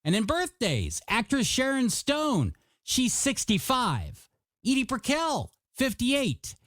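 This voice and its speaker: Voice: medium pitch, wildly swooping pitch, slow pace, fairly bright tone, no room sound, clean studio sound. A radio DJ with upbeat patter and playful, chatty asides between songs. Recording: music under the voice.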